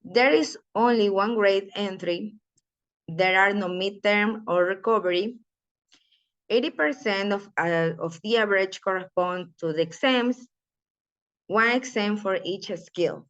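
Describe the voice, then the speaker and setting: A woman giving an academic conference presentation in a halting way, often restarting words. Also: medium pitch, fairly bright tone. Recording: dull lo-fi recording.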